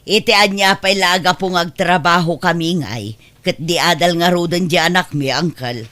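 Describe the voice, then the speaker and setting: Pushy, materialistic mother, middle-aged, Ilocano accent, forceful bright delivery, acted by a woman. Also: fairly low pitch, moderate pace, no room sound, natural voice. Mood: defensive. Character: demanding, materialistic mother living off her working child. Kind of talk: Ilocano audio drama acting.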